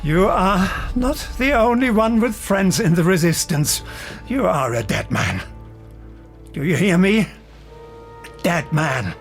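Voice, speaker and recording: An actor playing a threatening, gloating Nazi officer in a game cutscene, with music behind. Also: male voice, high voice, very animated, takes it slowly, pauses often, full character voice. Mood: menacing. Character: menacing Nazi officer villain, middle-aged man.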